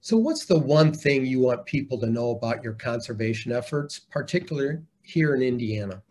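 A man's voice: medium pitch, lively intonation, fairly quick, darkish tone. An older man interviewing a guest over a video call from an office, asking plain, direct questions. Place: office with bookshelves.

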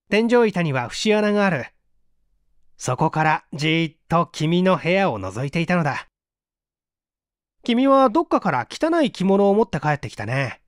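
A man's voice: high-pitched, no echo, studio quality. A man voicing a smug, teasing amateur detective who boastfully explains his tricks in a mystery audiobook. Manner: taunting, self-satisfied, explanatory. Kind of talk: dramatic audiobook reading of a mystery story.

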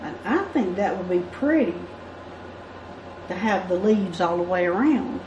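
A woman with a fairly low voice talks through a drawing lesson, explaining each step in a chatty way as she works. She is recorded indoors at a work table by a camera above it. Faint background noise sits under the voice. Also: expressive, unhurried, muffled recording. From United States. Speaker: craft instructor. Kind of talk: drawing tutorial.

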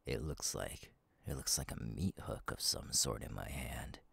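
A man voicing a sadistic masked killer in an ASMR roleplay, husky and taunting, slow and halting, often dropping to a whisper. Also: very deep voice, a bit bright, no echo, full character voice. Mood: gleeful, mocking. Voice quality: husky, gravelly. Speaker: voice actor, ASMR artist. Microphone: close, dry microphone.

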